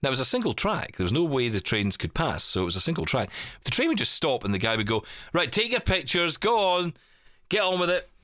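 A man hosting a tech podcast, chatting casually and telling stories with quick asides. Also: fairly high pitch, big pitch swings, bright, forceful tone, no room sound, clean studio sound. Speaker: podcast host. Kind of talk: casual chat.

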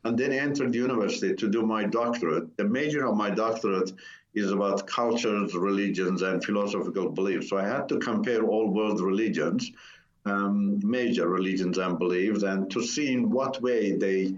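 A man in his sixties from Iran, a native Turkish speaker, telling his life story in an interview and pausing often to search for words. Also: fairly low pitch. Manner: hesitant, searching for words, many pauses. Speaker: interview guest. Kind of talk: personal testimony in a conversation. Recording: roomy, dull lo-fi sound.

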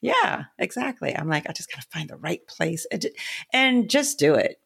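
A woman hosting a casual podcast interview, supportive and quick with affirmations. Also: lowish voice, very animated, gravelly, fairly bright tone, slightly rough. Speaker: podcast host.

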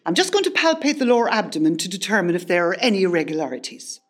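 A woman's voice: on the low side, big pitch swings, fast. A woman playing a frank, methodical hospital doctor in a radio play, formal and clinical in manner. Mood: clinical, businesslike. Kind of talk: comedy radio play acting.

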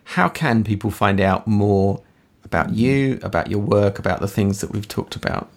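A man hosting a podcast interview, asking questions in a relaxed, casual way. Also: fairly low pitch, moderate pace, vocal fry. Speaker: podcast host.

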